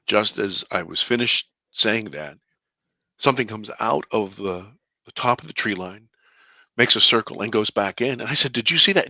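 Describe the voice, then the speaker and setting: A deep-voiced man, a filmmaker guest in an interview, telling a personal story earnestly, with halting restarts and bursts of excitement. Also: dry recording. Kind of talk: conversational storytelling.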